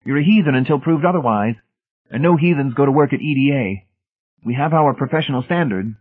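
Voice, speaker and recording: A man's voice: on the high side, fairly quick, breathy edge, voice pushed up. Through a thin phone-like band comes a smug young zealot, acted out by a male narrator. He declaims in a raised, sanctimonious voice, as pompous storybook villains do. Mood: haughty, inexorable.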